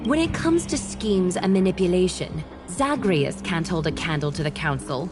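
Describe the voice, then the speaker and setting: A woman voicing a noble demigod ruler in a video game, composed, stern and resolute, with formal, measured delivery. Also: on the low side, expressive, music in the background, natural voice. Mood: firm defiance. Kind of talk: game voice acting.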